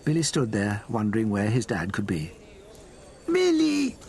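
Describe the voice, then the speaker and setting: A man's voice: on the low side, animated, a little fast, a little mellow, a little hiss or hum. A man with a British accent narrating a children's story expressively from a script. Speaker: audiobook narrator.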